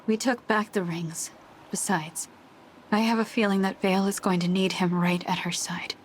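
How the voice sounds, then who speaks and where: A woman voicing an earnest, kind young heroine and leader in a video game, sincere and gentle. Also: mid-range voice, fairly even intonation, moderate pace, a little hiss or hum, lightly acted. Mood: relieved sincerity. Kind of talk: video game cutscene voice acting.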